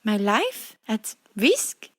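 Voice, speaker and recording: Hesitant young French woman, high voice. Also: wildly swooping pitch, drawn-out words, exaggerated voice. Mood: apologetic, worried. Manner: hesitant, searching for words in broken English. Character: nervous young French immigrant woman, crime witness. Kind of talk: radio detective drama acting.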